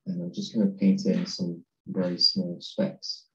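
A man talking through a digital painting on a live stream, relaxed and thinking aloud as he works. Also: low-pitched, unhurried, roomy sound, dull audio. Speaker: digital art tutor.

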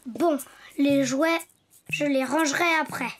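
An actor voicing a cheerful, playful little boy donkey in a preschool cartoon, lively and childlike, with music behind. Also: high voice, takes it slowly, slight background noise. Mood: earnest.

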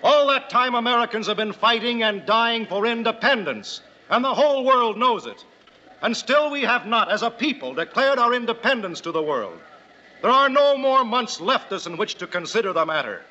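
A man's voice: very high-pitched, animated, moderate pace, bright, forceful tone, heavily acted. An actor playing a resolute, eloquent revolutionary statesman with formal, declamatory delivery in an old-time radio drama. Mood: impassioned. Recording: dull vintage radio recording.

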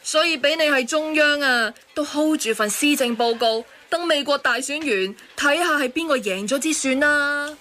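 A woman's voice: sits high, normal speed, hardly any pauses, pushed and bright. An actress in a radio drama playing an opinionated, know-it-all woman, emphatic, with a Hong Kong Cantonese accent.

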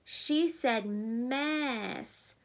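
A woman's voice: on the high side, extremely slow. A woman voicing a helpful, patient young dinosaur friend in a children's picture book read-aloud at home. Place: small indoor room at home.

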